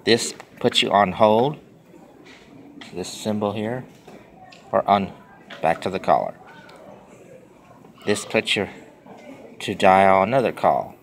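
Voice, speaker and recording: A man explaining something step by step in plain, halting, repetitive short phrases, like a simple tutorial. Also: on the low side, expressive. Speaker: tutorial presenter. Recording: noisy handheld phone recording with clicks.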